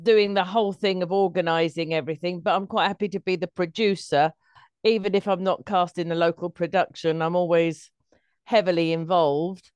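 Middle-aged woman, low smooth voice, dry close sound, conversational storytelling. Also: fairly even intonation, moderate pace, darkish tone, no echo, highs cut off. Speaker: guest, stage performer. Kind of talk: conversational interview, anecdotes.

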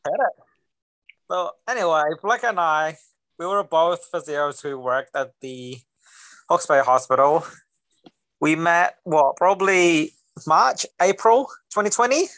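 Young male co-host, Singaporean-Kiwi accent, relaxed bro banter, bright tone, dry sound. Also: fairly high pitch, a little slow, dry recording, poor audio. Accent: mixed Singaporean and New Zealand. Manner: laid-back, joking, bro slang. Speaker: podcast co-host. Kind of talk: casual podcast chat.

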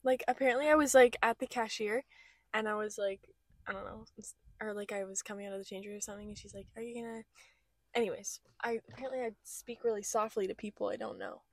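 A woman's voice: mid-range voice, fairly even intonation, quick pace, no room sound. A young woman co-hosting a podcast, chatting casually with many fillers and giggles. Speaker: podcast co-host. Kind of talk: casual chat. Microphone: small podcast mic.

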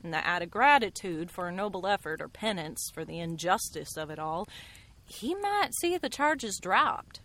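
A woman's voice: fairly low pitch, sing-song, normal speed, bright, forceful tone, full character voice. A woman playing a resolute frontier woman in a western radio drama, speaking earnestly and directly. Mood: practical, persuasive. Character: frank resolute frontier woman, the fugitive's companion.

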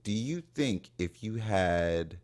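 A man's voice: lowish voice, expressive, slow pace, a little mellow. A young man co-hosting a podcast in casual chat, a supportive listener who keeps affirming his partner. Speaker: podcast co-host.

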